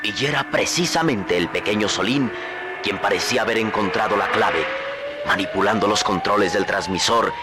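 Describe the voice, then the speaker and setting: A man's voice: on the low side, moderate pace, loud music behind the voice. A man narrating a vintage radio drama in a dramatic, suspenseful way.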